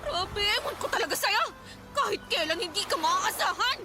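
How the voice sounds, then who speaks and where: Radio drama casting: exasperated young mother, piercingly high voice, scolding and combative, noisy street scene. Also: animated. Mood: furious, scolding. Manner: loud, scolding, rapid-fire rhetorical questions. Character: fierce, exasperated young mother scolding her irresponsible partner. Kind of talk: Tagalog radio drama acting. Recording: noisy street ambience with vehicles.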